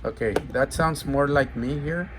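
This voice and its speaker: Man thinking aloud over clear background noise. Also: medium pitch, a little slow. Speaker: radio seller demonstrating gear. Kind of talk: casual hands-on product demo.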